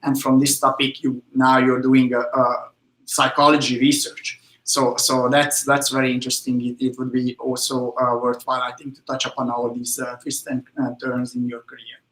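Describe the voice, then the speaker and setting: A man hosting an event and introducing a guest, halting with many fillers and restarts. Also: medium pitch, monotone, fairly bright tone. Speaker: event host. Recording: echoey room.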